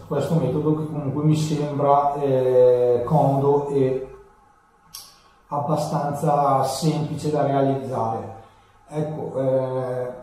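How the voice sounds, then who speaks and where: Echoey, slow-talking man, casual tutorial. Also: on the high side, mellow. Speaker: motovlogger presenting a tutorial. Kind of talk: casual unscripted tutorial talk. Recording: echoey room, distant camera mic.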